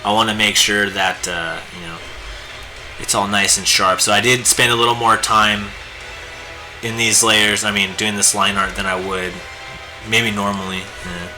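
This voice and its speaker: A hoarse, raspy man chatting casually and rambling with lots of fillers while he draws on a live stream. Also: fairly low pitch, little pitch movement, normal speed. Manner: laid-back, rambling, many fillers. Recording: music playing behind the voice. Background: guitar music.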